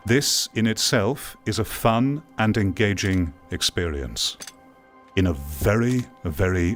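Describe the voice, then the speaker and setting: A man giving opinion commentary on VR gaming in an emphatic, deliberate way, repeating phrases for weight. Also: fairly low pitch. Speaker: VR gaming video creator. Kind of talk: opinion commentary over gameplay. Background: music.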